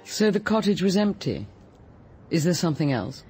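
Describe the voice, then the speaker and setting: A woman's voice: fairly low pitch, very animated, moderate pace, a little mellow, soft background music. An actress playing a composed, guarded British woman in a radio drama, with a cool, clipped, deflecting manner. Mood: guarded, dry. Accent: British English. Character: composed, guarded woman cookery writer under suspicion.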